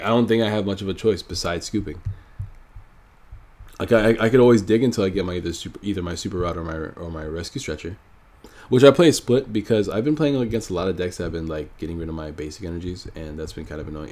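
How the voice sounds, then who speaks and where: A man's voice: low voice, quick pace, a little mellow. A man with a stuffy, nasal voice from a cold, casually thinking aloud while commenting on his own card game match. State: sick, stuffy nose. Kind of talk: live gameplay commentary.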